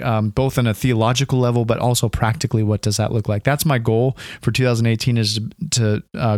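A man in his thirties, hoarse from a cold, hosting a solo podcast in a rambling, casual way full of fillers. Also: mid-range voice, darkish tone, no room sound, studio quality. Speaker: podcast host. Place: alone in a room at home. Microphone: home recording at a computer.

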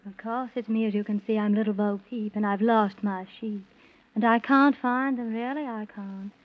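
Actress as nursery-rhyme shepherd girl, mid-pitched, singsong, vintage children's radio fantasy, thin narrow-band recording. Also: fairly even intonation, normal speed, close to an everyday voice. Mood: prim, forlorn. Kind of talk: 1930s children's radio serial acting.

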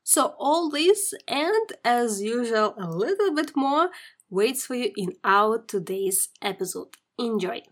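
A woman's voice: a little high, lively intonation, slow pace. A woman hosting a podcast interview, upbeat and emphatic as she introduces her guest and asks questions. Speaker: podcast host.

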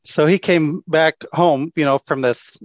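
A man's voice: on the high side, steady tone, a little slow, dry recording. A man in his sixties, a mission organization leader, telling his story in an easygoing, friendly way as a podcast guest. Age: 60s. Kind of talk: casual interview chat. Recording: dry, dull remote call audio.

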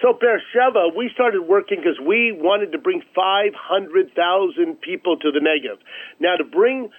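A man's voice: high voice, unhurried. A man speaking as an executive guest in a radio interview, emphatic and persuasive, over a tinny phone line.

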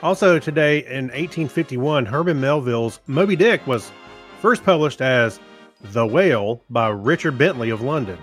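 A man's voice: medium pitch, animated, moderate pace. A man co-hosting a podcast, chatting and reading items in an easygoing, hesitant way over music. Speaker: podcast co-host. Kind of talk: casual chat and reading out items.

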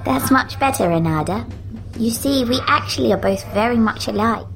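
A woman's voice: fairly high pitch, lively intonation, normal speed, vocal fry, pitched above the performer's own voice, low-quality recording. A woman voicing an uncanny little girl with an upper-class English accent, prim, pompous and teasing. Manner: pompous, teasing, sing-song. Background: music.

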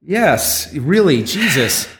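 A man's voice: fairly high pitch, very animated, takes it slowly, a bit bright. A man hosting a live comedy variety show, bantering in an exasperated way. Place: live show inside a comic book shop. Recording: roomy live venue with music.

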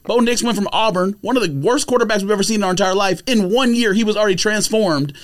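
A man's voice: sits high, quick pace. A man in a casual, opinionated sports podcast debate, speaking emphatically and argumentatively with plenty of slang.

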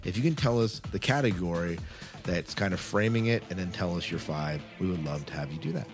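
A very low-pitched man hosts a casual podcast. He talks informally and wordily, explaining as he goes. Music plays clearly behind his voice. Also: lively intonation, fairly quick. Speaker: podcast host. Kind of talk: casual chatty podcast hosting. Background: loud music bed.

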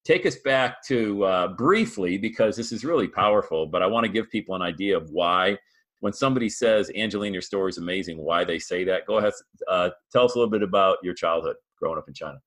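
A man with a low, forceful voice and a hyped, pumped-up way of talking. He hosts a motivational podcast interview over a video call and leans hard on energy and encouragement. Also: fast talker. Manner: hyped, pumped-up, shouty catchphrases. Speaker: podcast host.

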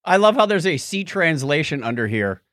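A man's voice: fairly high pitch, big pitch swings, normal speed, fairly bright tone, dry recording, studio quality. An American man co-hosting a podcast, chatting casually in a playful, joking way. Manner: jokey, playful. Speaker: podcast co-host. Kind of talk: casual comedic chat.

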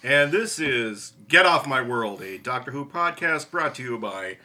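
A middle-aged man hosts a casual podcast in a bright, forceful voice of medium pitch. He speaks in halting phrases as he chats. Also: animated. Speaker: podcast host. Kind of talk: casual chat.

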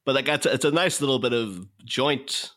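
A man's voice: mid-range voice, normal speed, sharp tone. A man talking casually about films as a podcast guest, explaining things in a rambling, conversational way. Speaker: podcast guest, co-host of a film podcast. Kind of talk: casual film discussion.

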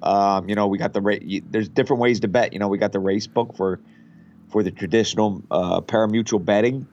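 A man from a betting site's marketing side, guesting on a podcast, hoarse from a head cold and talking in a relaxed, rambling way. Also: lowish voice, fairly quick, muffled recording. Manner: relaxed, rambling, many fillers. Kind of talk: casual podcast interview.

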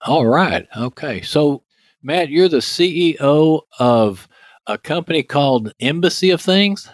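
A man's voice: on the high side, lively intonation, unhurried, no room sound. Texan man hosting, dry close sound. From Texas, United States. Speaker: podcast host. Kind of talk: conversational interview with scripted sponsor read.

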